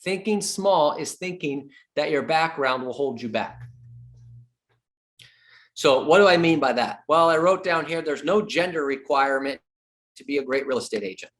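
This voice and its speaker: A man's voice: a little high, normal speed, a bit bright. A middle-aged man giving a sales training talk as a real estate coach, emphatic, repeating his key points and joking with the audience.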